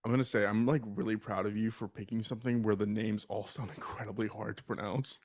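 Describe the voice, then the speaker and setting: A man's voice: fairly low pitch, fairly even intonation, fast talker, no room sound, studio quality. A man co-hosting a podcast, reacting playfully and joking in casual chat. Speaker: podcast co-host.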